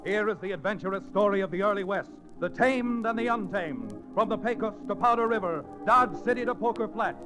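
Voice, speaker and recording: A man's voice: high voice, brisk, few pauses, music in the background, poor audio. A man announcing a 1940s radio show from a script in a dramatic, declamatory manner. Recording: restored old radio recording.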